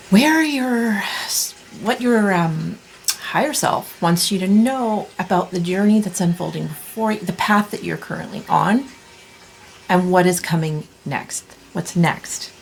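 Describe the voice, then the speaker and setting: A woman in her thirties giving a tarot reading in a soft, reassuring way, clearing her throat as she loses her voice. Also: mid-range voice, slight background noise, low-quality recording. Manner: soft, slow, reassuring delivery with many restarts. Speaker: tarot reader. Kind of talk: pick-a-card tarot reading.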